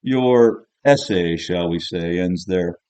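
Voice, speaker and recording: A man voicing a sarcastic old male history teacher in an audiobook, scolding and insistent, with slow, drawn-out emphasis. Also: fairly low pitch, lively intonation, dark tone, close to an everyday voice. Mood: sarcastic, mocking. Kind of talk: audiobook character voice.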